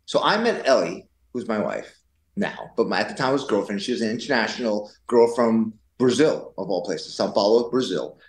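A man's voice: on the low side, big pitch swings, normal speed. Playful man in a roomy, echoey space. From born in Taiwan. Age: 40s. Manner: playful, joking, digressive. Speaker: podcast guest. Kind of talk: casual conversational storytelling. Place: indoors in a pool house.

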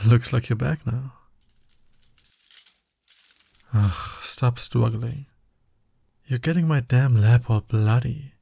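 A man's voice: medium pitch, measured pace, gravelly, dark tone, husky. A man playing a smug, dominant villain in an ASMR roleplay, taunting his hero nemesis with drawling exasperation. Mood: tense, exasperated.

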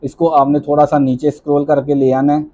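Male tutorial host, mellow and monotone, methodical walkthrough, lo-fi voice-over. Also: fairly high pitch. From Pakistan. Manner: step-by-step instructive, reads on-screen items slowly. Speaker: job-application tutorial host. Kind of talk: screen-recorded walkthrough. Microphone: voice-over over screen recording.

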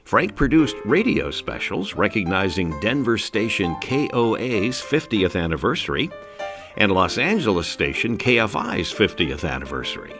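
An American man narrates a documentary in a deep voice with lively inflection. The scripted read sits over clear guitar music. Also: moderate pace.

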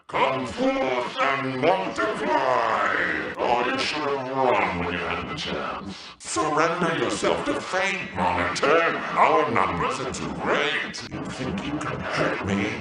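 Gravelly, echoing sadistic villain. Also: male voice, on the high side, far from the mic, exaggerated voice. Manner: drawn-out, taunting, theatrical menace. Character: menacing infected villain program, sadistic plague-bringer. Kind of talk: video game villain voice lines.

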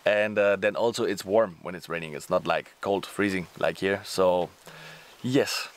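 A man's voice: low voice, unhurried. A man in his forties, not a native English speaker, chatting casually to camera in a fishing vlog outdoors by a lake. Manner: chatty, loose non-native phrasing. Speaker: fishing vlogger. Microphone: camera held close.

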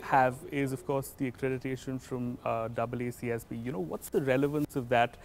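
A man's voice: medium pitch, steady tone, fairly quick, a little hiss or hum. A man in his thirties hosting a TV interview outdoors, asking polite conversational questions. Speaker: tv host interviewer. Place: outdoors on campus stairs.

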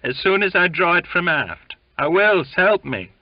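A man's voice: high-pitched, lively intonation, measured pace, no room sound, recorded from a distance, muffled recording, voice pushed up. A man voicing a whining, conniving sailor with a yelping, conciliating manner in a storybook reading. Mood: wheedling. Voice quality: whiny, yelping. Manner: conciliating, wheedling working-class sailor talk. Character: whining, conniving sickly sailor, pleading to get his way.

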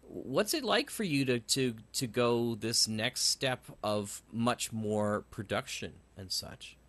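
A man's voice: medium pitch, animated, slow pace, a bit bright. A man hosting a podcast, asking questions in a casual conversational interview style.

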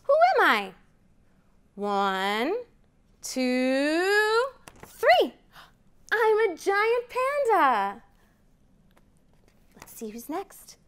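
A woman's voice: extremely high pitch, very animated, drawn-out words, long pauses, fairly bright tone. A woman in her thirties reading a picture book aloud to children in a library room, counting up with big rising calls. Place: library program room, indoors.

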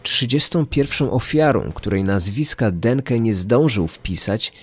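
A man narrating a scripted true-crime podcast in a measured, articulate manner. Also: mid-range voice, lively intonation.

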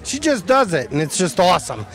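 A man's voice: high-pitched, big pitch swings, fairly quick. Upbeat man thanking everyone outdoors. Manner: enthusiastic, repeats "awesome". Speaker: law firm partner speaking at a charity event. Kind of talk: casual thank-you speech. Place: outdoors at an event.

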